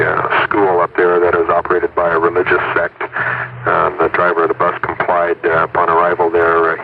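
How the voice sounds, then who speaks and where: A male police officer giving a halting, official statement full of hesitations. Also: low voice. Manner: hesitant, flat, official, many uhs. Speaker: police lieutenant. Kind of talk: halting spoken statement to press. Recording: noisy phone-like 1970s radio clip.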